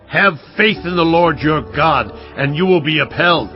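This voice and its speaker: A solemn, commanding king with a fairly high voice. He speaks with earnest weight as if addressing his people. The sound is slightly muffled, with faint music beneath. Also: lively intonation, a little slow. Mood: rousing. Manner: solemn, commanding, pleading in prayer. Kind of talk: dramatised scripture reading.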